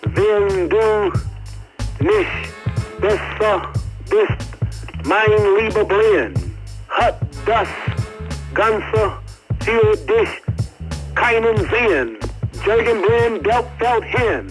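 A man speaks fight talk at an extremely slow pace. His voice is high, and music is clearly heard behind him. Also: rough recording.

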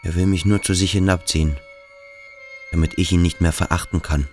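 An actor playing the inner voice of a conflicted young pupil in a radio drama, introspective and urgent. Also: male voice, low-pitched, fairly even intonation, fairly quick, faint music behind, close to an everyday voice. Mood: troubled, defensive.

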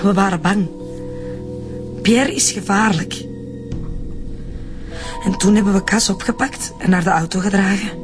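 An actress with a Flemish accent playing an earnest, frightened daughter explaining herself in a radio drama. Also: female voice, mid-range voice, unhurried, long pauses, over music, natural voice. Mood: earnest, sorrowful. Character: frightened, earnest secret daughter confessing family history.

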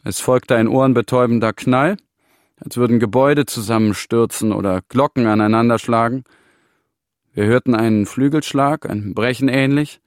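A man acting as a grim storyteller in a literary radio drama, speaking in a measured, deliberate way. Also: medium pitch, fairly even intonation, no room sound, clean studio sound, lightly acted. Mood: grave, measured. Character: grim man telling of economic collapse as 'we'.

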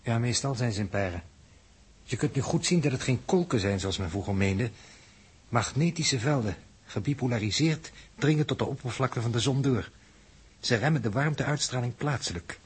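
An actor playing a learned, precise space scientist in a 1960s Dutch radio drama, with a measured, didactic delivery. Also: male voice, mid-range voice, animated, breathy edge. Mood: lecturing.

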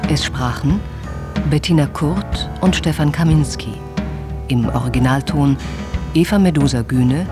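An announcer reading scripted radio feature credits in a formal, orderly way. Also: mid-range voice. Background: guitar music.

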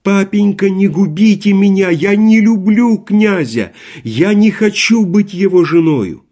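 A man's voice: brisk, mellow, breathy edge. A man reading aloud, voicing a young noblewoman who tearfully begs her father. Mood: pleading. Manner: pleading, tearful, raised pitch.

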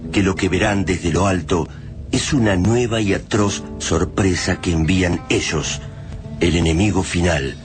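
Low-pitched Argentine male drama narrator. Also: measured pace, faint music behind. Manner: solemn, literary delivery.

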